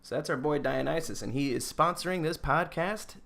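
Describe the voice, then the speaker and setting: A man's voice: fairly high pitch, moderate pace, vocal fry, fairly bright tone. A man co-hosting a podcast, giving a casual, joking rundown in an informal, giggly way. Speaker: podcast co-host presenting a rundown. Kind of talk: casual comedic presentation with banter.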